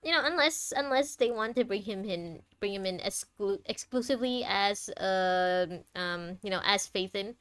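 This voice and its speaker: A woman gives casual livestream commentary over a game, chatty and quick to react. Her voice is medium-pitched and recorded close and dry, with very little room sound. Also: a bit bright, clean tone, dry recording. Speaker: game streamer.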